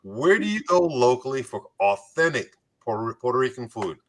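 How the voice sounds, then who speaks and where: A man's voice: mid-range voice, big pitch swings, normal speed. A man hosting a community talk show, moving between scripted introductions and playful, jokey banter.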